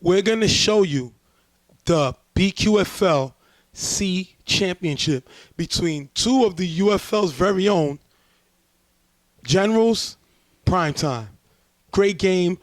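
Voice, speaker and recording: A man hosting a casual sports talk show, a bit hoarse as he loses his voice, breaking down plays in a chatty way. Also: sits high, slow pace, pauses often, no echo. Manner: relaxed, chatty play-by-play breakdown. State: losing his voice. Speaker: show host, sports commentator.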